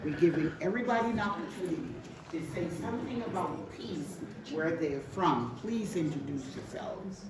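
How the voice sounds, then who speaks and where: A woman moderating a panel, briskly calling on people to introduce themselves. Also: deep voice, animated. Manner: brisk, directive, calling on speakers. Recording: echoey room, distant mic, loud background noise.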